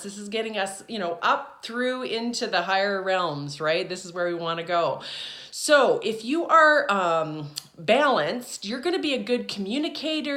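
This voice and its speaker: A woman's voice: fairly high pitch, sharp tone. A woman teaching her viewers in a casual, chatty way, often checking in with "okay?" and "right?" as she talks. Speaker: spiritual teacher and channeler. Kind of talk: casual instructional talk to viewers.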